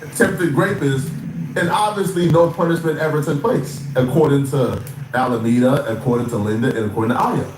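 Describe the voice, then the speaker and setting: A man recapping a news story in a steady, explanatory way, with some fillers. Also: mid-range voice, brisk. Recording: echoey room with faint noise.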